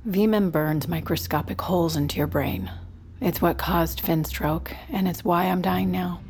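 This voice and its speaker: An actress playing a desperate, guilt-ridden dying scientist in a naturalistic audio drama, with halting, hesitant delivery. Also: female voice, fairly low pitch, steady tone, darkish tone, a little hiss or hum. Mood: grave, confessional.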